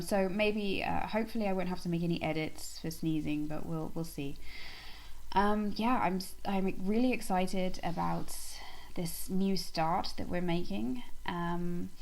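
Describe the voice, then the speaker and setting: A woman's voice: low voice, vocal fry, a little mellow, low-quality recording. A woman vlogging in a casual chat to camera, slightly nasal from allergies, rambling with many ums and restarts.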